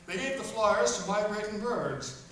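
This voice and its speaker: An actor playing a genial storybook narrator, reading scripted narration for a farm radio play. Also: male voice, sits high, animated, normal speed, fairly bright tone. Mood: storytelling. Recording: echoey hall from far off, faint noise.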